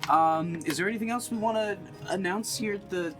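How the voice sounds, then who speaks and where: A man's voice: high-pitched, brisk, over music. A man playing and hosting a tabletop roleplaying stream, talking in casual, joking banter. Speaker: player and show host.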